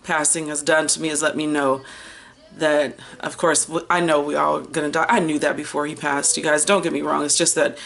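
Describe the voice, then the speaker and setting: An American woman in her fifties talking casually as a book vlogger, chatty and animated, wandering off on tangents and drawing out words for emphasis. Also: low-pitched, fairly quick, gravelly. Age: 50s. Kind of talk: casual rambling chat to camera.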